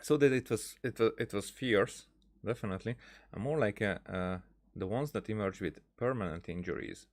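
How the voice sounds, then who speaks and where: A man giving casual game commentary, thinking aloud in a halting, rambling way. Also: on the low side. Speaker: gaming streamer.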